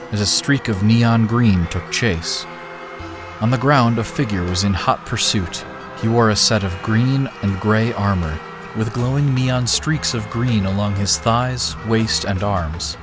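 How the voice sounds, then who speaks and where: A man reading an action story aloud with a steady, dramatic delivery. His voice sits low, and clear music plays underneath throughout. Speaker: narrator. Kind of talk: scripted story narration.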